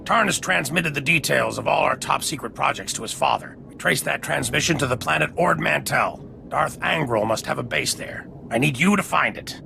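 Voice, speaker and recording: An actor playing a resolute military general in a video game, grave and commanding. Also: male voice, on the high side, expressive, moderate pace, gravelly, background noise. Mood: urgent, grim. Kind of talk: video game character acting. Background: music.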